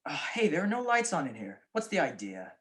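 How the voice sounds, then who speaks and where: A woman's voice: low voice, big pitch swings, a little fast. A young woman acting a suave, flippant male detective husband in a 1940s-style radio drama, with theatrical delivery. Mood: nervous. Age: young adult.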